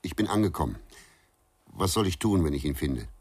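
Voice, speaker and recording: A man's voice: a bass voice, very animated, a little fast, a little mellow, slightly rough, exaggerated voice. An actor in a radio crime drama playing a weary, dogged former policeman who talks in terse, plain sentences.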